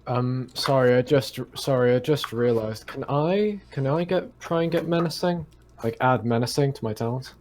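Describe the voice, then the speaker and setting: A man playing a tabletop game, chatting casually in a halting way, apologetic and repeating himself. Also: medium pitch, animated, darkish tone. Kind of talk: casual chat. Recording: faint noise, keyboard clicks.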